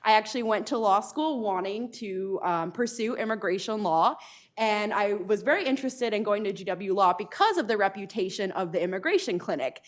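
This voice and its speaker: A woman's voice: medium pitch, animated, brisk. A woman in her thirties from Arizona, a law professor introducing herself at a panel event, earnest, with frequent ums. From Arizona, United States. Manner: earnest, frequent ums, occasional light laughs.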